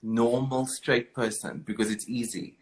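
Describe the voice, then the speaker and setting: A man's voice: mid-range voice, fairly even intonation, unhurried, poor audio. A man, a guest in a live video interview, talking emphatically and encouragingly. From South Africa. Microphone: video call.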